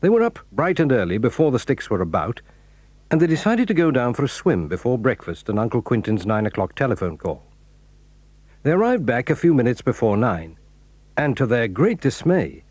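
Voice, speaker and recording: Husky-voiced male storybook narrator. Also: mid-range voice, sing-song, normal speed, a little mellow, no room sound. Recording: dry studio.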